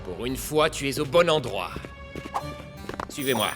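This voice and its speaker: An actor playing a proud, eager young raider in a children's cartoon, with a boastful, dramatic manner. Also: male voice, mid-range voice, expressive, measured pace, lightly acted. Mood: cautious. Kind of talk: French animated children's series dub. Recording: loud music behind.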